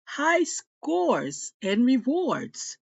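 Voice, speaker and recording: A woman reading a news report aloud in a slightly halting way. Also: high-pitched, huge pitch swings, creaky, husky, dry recording, dull audio.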